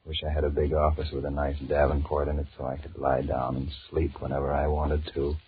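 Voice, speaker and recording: Very deep, weary, grumbling businessman. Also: monotone, fairly quick. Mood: weary, wistful. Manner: grumbling, wheedling. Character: weary, restless married businessman flirting with his secretary. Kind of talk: 1940s radio drama acting, inner monologue and dialogue. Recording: muffled, hissy 1940s radio recording.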